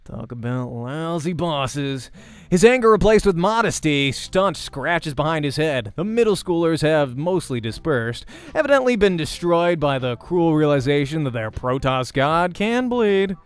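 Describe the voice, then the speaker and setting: A man's voice: fairly high pitch, sing-song, unhurried, smooth. A man hosting a let's play, reading visual novel narration aloud expressively like a voice actor. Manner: acts out dialogue while narrating. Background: game music.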